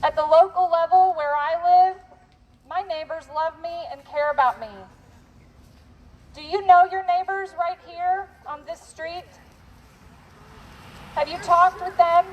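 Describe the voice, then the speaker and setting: A high-pitched American woman addresses an official through a bullhorn at a street protest. She speaks very slowly and deliberately, in pointed questions, with some outdoor noise behind her. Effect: bullhorn amplification. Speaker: protest activist speaking to the governor. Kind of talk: public protest speech over a bullhorn. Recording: noisy outdoor street recording.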